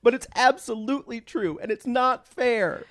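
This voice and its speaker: A woman's voice: a little high, normal speed, pitched above the performer's own voice, close to an everyday voice. Woman acting out a whiny, indignant Christmas zealot. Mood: indignant, aggrieved. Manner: whiny, melodramatic, self-pitying. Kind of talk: mock dramatic reading.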